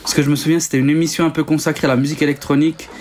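A man's voice: a little high, level tone, very fast, a little mellow, slight background noise. A man in his forties from Switzerland whose first language is Italian, a DJ and producer answering interview questions casually, hesitating often with ums and restarts. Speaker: DJ and producer guest.

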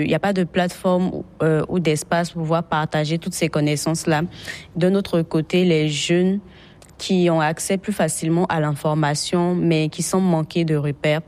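A woman's voice: deep voice, dark tone. A woman from Côte d'Ivoire, earnestly explaining her team's project with some hesitations. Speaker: workshop participant.

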